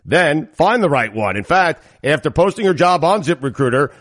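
A man hosting a podcast from his office, laughing a lot and reading ads briskly. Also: fairly high pitch, big pitch swings, fairly bright tone, dry recording, studio quality. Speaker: podcast host. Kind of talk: casual podcast banter.

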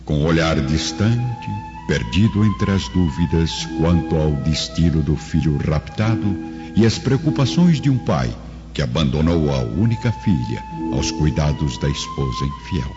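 A man's voice: rumbling low, very animated, unhurried. A man narrating a radio drama in a dramatic, scripted style. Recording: dull lo-fi audio under loud music.

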